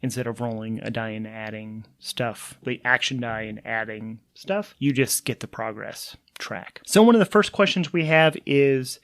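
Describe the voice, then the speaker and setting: A man's voice: medium pitch, moderate pace, hardly any pauses. A man hosting and narrating a solo tabletop role-playing game in an informal way, as game master and player. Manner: informal, thinking aloud.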